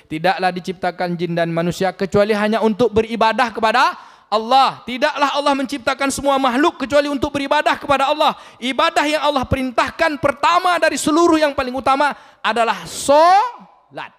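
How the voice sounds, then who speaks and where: High-voiced preacher in a mosque. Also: male voice. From Riau, Indonesia. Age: 40s. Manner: lively, questions the congregation directly. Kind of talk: mosque sermon. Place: mosque hall. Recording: long mosque hall reverb.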